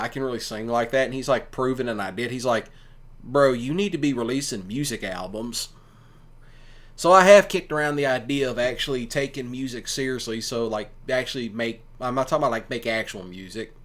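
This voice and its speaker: A man's voice: medium pitch, fairly bright tone. A man giving casual, rambling commentary in a folksy, colloquial way, like a streamer talking to listeners. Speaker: streamer and ASMR audio creator.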